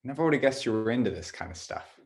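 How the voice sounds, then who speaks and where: A young man acting on stage as an offhand, quietly morbid dating partner, speaking in a casual, halting way. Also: mid-range voice, animated, lightly acted. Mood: bored. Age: young adult. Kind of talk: student stage acting, naturalistic dialogue.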